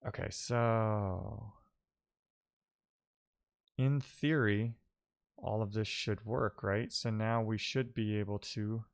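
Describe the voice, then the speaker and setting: A man streaming live coding, talking casually and haltingly as he thinks aloud and mutters to himself while he works. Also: on the low side, steady tone, vocal fry.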